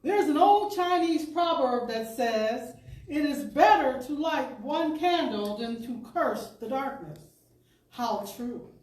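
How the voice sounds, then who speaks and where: A woman giving a deliberate, expressive, oratorical reading of an inspirational talk to a congregation. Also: sits high. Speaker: speaker, professional storyteller. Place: spiritual center service, indoors. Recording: echoey room, distant pickup.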